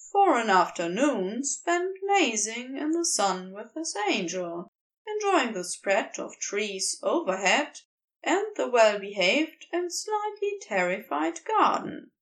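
A woman reading a story aloud as an expressive narrator, recorded a little way from the microphone. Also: fairly high pitch, distant mic, poor audio. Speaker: fan fiction audio reader.

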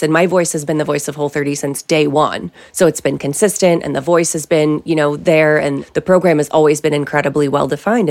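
A middle-aged woman, a company founder, answering interview questions in a confident, emphatic conversational way. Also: deep voice. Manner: confident, emphatic, lively asides.

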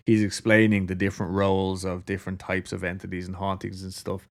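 A man's voice: low voice, monotone, a little fast. A man with an Irish accent casually telling a story to a co-host, laughing often and swearing freely. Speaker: podcast co-host.

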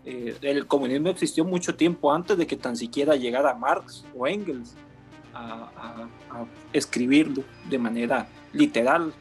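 A man's voice: medium pitch, normal speed, soft background music. A Costa Rican man with a Costa Rican accent, talking in a casual chat, hesitant and full of "eh" fillers and restarts. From Costa Rica.

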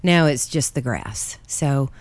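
A woman co-hosting a casual podcast, a bit stuffy and nasal from allergies, quick with sarcastic comebacks. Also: a bass voice, lively intonation, a little mellow, dry recording. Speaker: podcast co-host. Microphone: studio mic.

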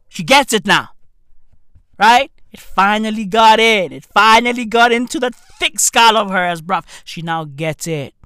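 Man, comedian, slow emphatic rant, bright tone, dry close sound. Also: high-pitched, no room sound. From South Africa. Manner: emphatic, repetitive, swears heavily, drops to whispers. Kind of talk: ranting monologue to camera.

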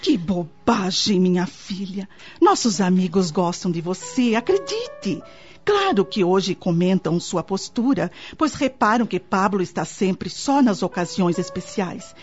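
A woman with a Brazilian accent playing a caring, protective middle-aged mother-in-law in a radio soap opera, speaking in a measured, theatrical, motherly way. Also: mid-range voice, a little mellow, lightly acted. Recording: dull lo-fi radio drama, music underneath.

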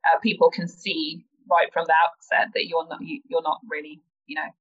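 Low-voiced woman on muffled audio. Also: flat delivery, moderate pace. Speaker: interview guest, company founder. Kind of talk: casual podcast interview talk.

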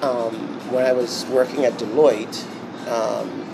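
A Bahamian man giving an interview, speaking reflectively with many pauses and restarts. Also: medium pitch, creaky. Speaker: interview guest. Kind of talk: casual interview talk. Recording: steady background noise.

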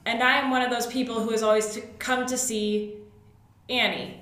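A woman's voice: a little high, level tone, normal speed, a bit bright. A woman from southern New Hampshire co-hosting a podcast, chatting casually and drawing on personal anecdotes. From southern New Hampshire, USA. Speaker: podcast co-host. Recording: very echoey, reverberant room.

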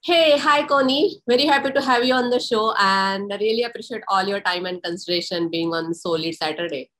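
A woman host introducing her guest from a script in measured phrases, then asking interview questions. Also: mid-range voice, a bit bright. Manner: reads intro in measured phrases, slows on lists. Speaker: podcast host.

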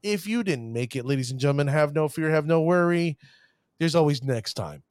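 A man's voice: a little high, animated, fairly quick, darkish tone, dry recording. Hype-driven host man, dry close sound. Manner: showman flourishes, stumbles over names. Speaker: podcast host. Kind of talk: hype-filled casual show talk.

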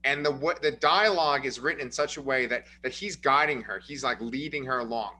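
A man co-hosting a casual movie review podcast, explaining his points in an earnest, measured way. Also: mid-range voice, pushed and bright, slight background noise. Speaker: podcast co-host.

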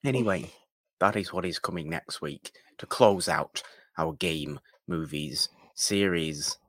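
A man's voice: low voice, lively intonation, unhurried, vocal fry, a bit bright, no room sound. A man with a British accent co-hosting a film podcast in casual chat, a bit mock-pedantic and hedging as he talks. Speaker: podcast co-host.

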